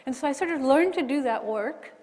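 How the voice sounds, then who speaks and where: High-voiced woman in a reverberant hall. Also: expressive, brisk, a little mellow. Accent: mixed American and British. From Hawaii. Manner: candid, self-deprecating, with frequent restarts. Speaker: bioethicist panelist. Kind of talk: personal story on a forum panel. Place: large lecture hall.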